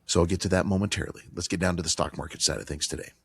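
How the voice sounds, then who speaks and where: Male talk radio host, hoarse with a cold, low voice, dry studio sound, emphatic conversational delivery. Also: steady tone, racing, no echo. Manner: emphatic, repetitive, conversational with fillers. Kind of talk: financial talk radio monologue.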